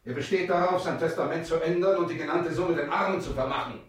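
An actor in a German radio drama playing a stern, embittered, middle-aged patriarch and prosecutor, proud, rigid and formal. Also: male voice, fairly high pitch, fast, echoey room, natural voice, poor audio. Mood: stern, indignant. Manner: formal, severe.

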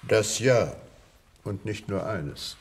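Casting: grave country doctor, low husky voice, dramatic storyteller with swooping intonation. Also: male voice, lively intonation, heavily acted. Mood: grave recounting. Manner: deliberate, dramatic pauses, measured storytelling. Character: grave village doctor confessing old secrets. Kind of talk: German radio crime play acting.

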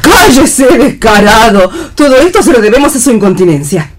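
A woman's voice: on the high side, very animated, moderate pace. An actress playing a haughty, indignant, scolding woman in a theatrical radio play reading. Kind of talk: read-theatre stage acting on radio. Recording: faint noise and clicks.

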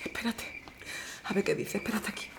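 A woman's voice: fairly quick, close to an everyday voice. Woman playing an earnest, pleading woman, noisy backdrop. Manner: emotional, imploring. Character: earnest, tender-hearted woman pleading for her family. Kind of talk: audio drama dialogue. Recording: clear background noise, outdoor ambience.

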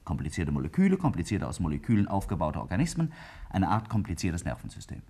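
A man's voice: deep voice, wildly swooping pitch, quick pace, warm tone, husky, heavily acted. A man acting a self-assured scientist in a 1950s German radio play, arguing didactically and emphatically with slight irony. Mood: emphatic. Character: Kingsley, self-assured male scientist arguing a bold theory.

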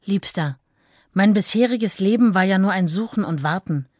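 An actress playing a tender, adoring fiancée in a radio play, reading love letters aloud in an earnest, simple way. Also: female voice, medium pitch, moderate pace, darkish tone, dry recording, clean studio sound, close to an everyday voice. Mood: earnest devotion.

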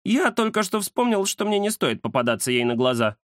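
A man voicing a chatty, mischievous young cat with an excitable, teasing manner in a children's story. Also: sits high, brisk, no echo, studio quality, pitched above the performer's own voice. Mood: chatty, aggrieved. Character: cheeky chatty round-faced young male cat, mischievous.

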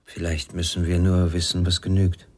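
An actor playing a weary, troubled father searching for his son in a radio crime drama, speaking sparsely and reflectively in a husky voice. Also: deep voice, completely flat delivery, moderate pace, heavy background noise, natural voice. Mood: regretful, reflective.

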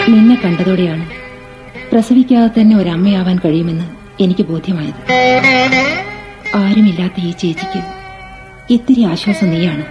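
Radio play: actress as a steadfast, motherly guardian, mid-pitched, lo-fi broadcast sound. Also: natural voice. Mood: tender. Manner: firm, protective, motherly. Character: lonely, resolute woman guarding the family house. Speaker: radio drama actor. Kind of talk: 1980s Indian radio play acting. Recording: dull old radio recording with faint music.

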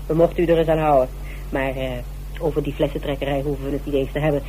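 An actress playing a spirited, teasing private detective in a radio drama, speaking in an informal, pert way. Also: female voice, sits low, steady tone, quick pace, dark tone, natural voice. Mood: taunting, accusing. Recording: humming, noisy radio play recording.

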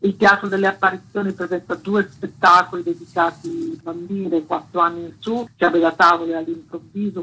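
A woman's voice: lowish voice, fairly bright tone, a little hiss or hum, low-quality recording. A woman explaining a programme in detail as a guest in an informal radio interview. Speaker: guest presenting an arts project.

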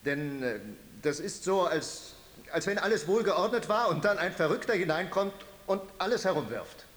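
A high male voice echoes through a hall as a hesitant expert in a radio drama. He explains haltingly, searching for each phrase. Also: animated, a bit bright. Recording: long echoing hall reverb.

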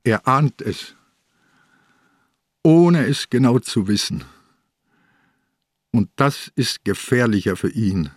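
A sick old police inspector in a radio crime play. The older man speaks very slowly and with weight, stubborn and grimly determined. The sound is dry and close. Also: mid-range voice, lively intonation, breathy edge, no echo. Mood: grimly deliberate. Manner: deliberate, emphatic, weighty. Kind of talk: German radio drama acting.

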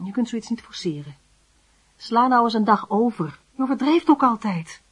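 A woman acting in a radio play as a mother who gently admonishes and reasons with her son. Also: fairly high pitch, animated, moderate pace, breathy edge. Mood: gently chiding. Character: gently chiding mother of a lovestruck schoolboy.

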